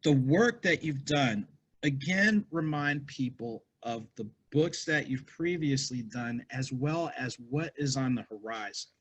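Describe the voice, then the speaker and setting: An upbeat man hosts an author chat over a video call. His voice has a husky edge. He talks in long, friendly, rambling questions. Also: medium pitch, lively intonation, unhurried, rough recording. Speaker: host. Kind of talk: casual interview hosting.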